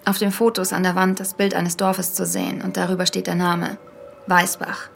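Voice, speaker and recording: Creaky-voiced, haunted woman podcaster. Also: lowish voice, flat delivery, normal speed, slight background noise. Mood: earnest, determined. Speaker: actor in audio drama. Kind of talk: audio drama, first-person podcast narration.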